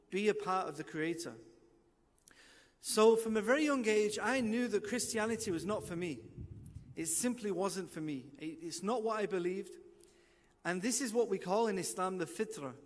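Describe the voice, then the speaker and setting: A man in his thirties gives a reflective talk about his own life into a desk microphone. His voice sits fairly high and carries a long tail of reverb from the open outdoor stage. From England. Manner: earnest and reflective, gesturing with hands. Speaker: guest speaker. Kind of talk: personal testimony lecture.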